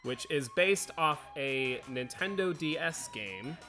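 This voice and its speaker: A man's voice: a little high, animated, slow pace. A man hosting a film review, talking through his opinions as commentary. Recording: music bed under voice.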